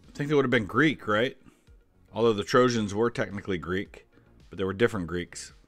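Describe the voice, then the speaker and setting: A man's voice: lowish voice, fairly quick, a bit bright, no echo, faint music behind. A man in his forties giving casual, conversational reaction commentary, thinking aloud. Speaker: history YouTuber reacting to a quiz.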